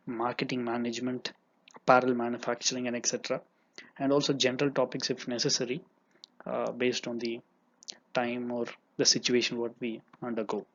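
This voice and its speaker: A young man whose first language is Tamil, teaching economics in an earnest lecture with frequent fillers and repeats. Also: medium pitch. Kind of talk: lecture with examples. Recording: dull lo-fi recording.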